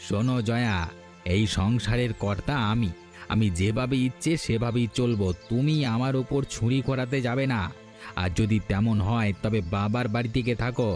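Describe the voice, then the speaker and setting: A man's voice: medium pitch, fairly even intonation, moderate pace, darkish tone, soft background music, lightly acted. A man voicing a stubborn, domineering shopkeeper husband in a cartoon, blustering and defensive in manner. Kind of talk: cartoon dialogue acting.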